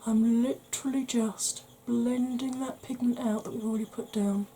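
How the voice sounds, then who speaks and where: A woman from the UK, a beauty vlogger talking through a makeup tutorial in a quiet voice that keeps slipping into whispers. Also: on the high side, steady tone, moderate pace, a little hiss or hum. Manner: quiet, soft-spoken, drifting into whispers.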